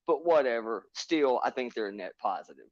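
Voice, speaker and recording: A man's voice: medium pitch, animated, brisk, no echo. Man ranting hard on a lo-fi stream. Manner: emphatic, profane, lecturing rants. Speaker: livestream panelist. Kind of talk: heated panel chat. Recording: dull lo-fi stream audio.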